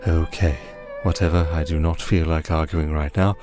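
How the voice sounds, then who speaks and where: Man playing a deep-voiced, commanding vampire archduke. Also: steady tone, music in the background. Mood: composed authority. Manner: measured, dry, authoritative. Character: commanding, dryly exasperated male vampire archduke. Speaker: lead voice actor. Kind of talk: fantasy ASMR roleplay.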